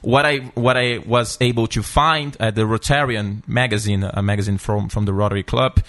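A man's voice: fairly low pitch, fairly even intonation, normal speed, a bit bright. A man interviewing a guest, asking questions with hesitant, stammering starts.

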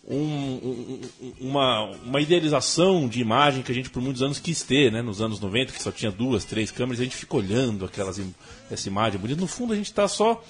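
Man, from Brazil, sports talk host, mid-pitched, rambling, faint music bed. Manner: rambling, restarts phrases often. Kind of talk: casual sports chat.

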